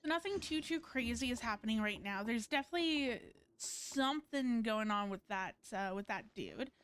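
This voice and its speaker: A woman's voice: fairly high pitch, lively intonation, normal speed, fairly bright tone, a little hiss or hum. A woman game streamer giving casual live commentary, rambling as she thinks aloud.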